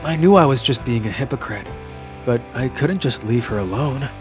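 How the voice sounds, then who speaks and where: A man playing a kind, lovelorn young traveller in an English manga dub, narrating his story and speaking in an earnest, soft-hearted way. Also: medium pitch, lively intonation, moderate pace, music in the background, lightly acted. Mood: reflective.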